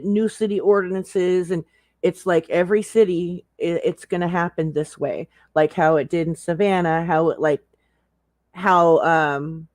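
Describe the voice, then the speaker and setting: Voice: medium pitch, darkish tone, dry recording. A livestream co-commentator chatting casually in a blunt, profane way. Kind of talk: casual chat.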